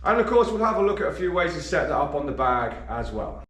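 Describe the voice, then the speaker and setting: Man, boxing coach, slightly croaky, fairly high voice, echoey gym. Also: very animated, fast, fairly bright tone, far from the mic. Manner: demonstrates moves while talking, counting punches. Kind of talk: instructional demonstration.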